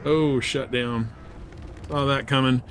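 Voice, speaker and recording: A man's voice: medium pitch, steady tone, slow pace. Man streaming casually over faint game noise. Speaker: game livestreamer. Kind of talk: casual gaming stream commentary.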